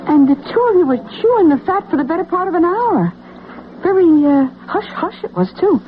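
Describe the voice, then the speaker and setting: A woman's voice: very high-pitched, sing-song, brisk, a little hiss or hum. An actress playing a feisty, protective working-class woman in an old-time radio drama, blunt, slangy and wisecracking. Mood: suspicious, needling. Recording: tinny band-limited old radio broadcast, music.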